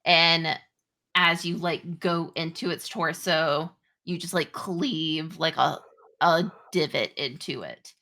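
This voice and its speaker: A woman game master improvising narration and rules in a halting, conversational way. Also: low voice, bright, forceful tone. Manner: halting, conversational, pieced-together descriptions. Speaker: game master of a tabletop roleplaying game.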